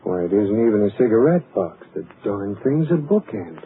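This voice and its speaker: An actor playing an earnest young husband who is quick to alarm, in 1940s radio acting. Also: male voice, on the low side, big pitch swings. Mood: puzzled. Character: anxious young husband, growing frantic. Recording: tinny, hissy 1940s radio broadcast.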